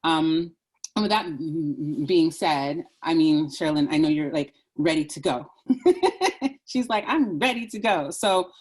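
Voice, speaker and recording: Woman moderator, low voice, animated and chatty, lots of "like" and "you know". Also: unhurried, breathy edge. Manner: animated, chatty, many fillers. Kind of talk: panel discussion.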